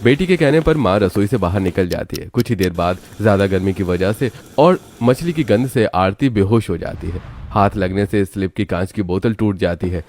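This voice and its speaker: Low-voiced man narrating a cartoon story. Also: a little mellow, a little hiss or hum.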